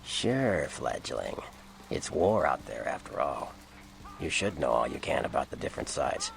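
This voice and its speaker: Game vampire informant, worldly and sardonic, extremely low crackling voice, dry slangy explainer. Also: male voice, big pitch swings, a little slow, full character voice. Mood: wry, knowing. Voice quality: gravelly. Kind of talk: video game character dialogue.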